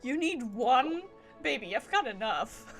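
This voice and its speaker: A woman's voice: fairly high pitch, animated, unhurried, a bit bright. A woman game streamer giving casual, playful live commentary with silly little asides. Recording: game music behind the voice. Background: video game music.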